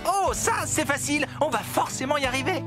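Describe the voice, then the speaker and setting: A woman voicing a boastful, excitable, childlike construction vehicle in a French children's cartoon. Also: high-pitched, a little fast, close to an everyday voice. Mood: excited. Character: boastful, excitable young talking digger, childlike. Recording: music behind the voice.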